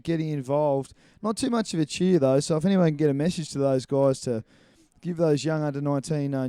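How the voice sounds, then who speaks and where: A man calls a live match from a commentary box, chatty and rambling, full of little fillers as he goes. His voice is mellow and smooth, and the recording is close and dry. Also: mid-range voice, dry recording. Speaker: sports commentator. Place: commentary box at an indoor pool.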